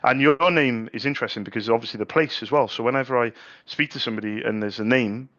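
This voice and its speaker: A middle-aged man chatting on a sales call at an ordinary mid pitch. He talks freely, doubling words and restarting his sentences. The recording sounds dull and lo-fi. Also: fast talker, a bit bright, dry recording. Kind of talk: casual sales phone call.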